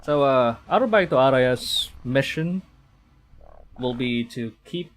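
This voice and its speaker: A male streamer talks through a game in a casual, halting way. His pitch is average, and he moves between commentary and reading narration aloud. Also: expressive. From Philippines.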